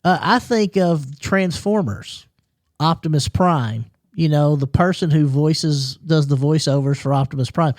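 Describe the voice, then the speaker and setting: A man hosting a local radio interview show in a small studio, asking questions haltingly with many "uh"s and repeating the station ID. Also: fairly high pitch, no room sound.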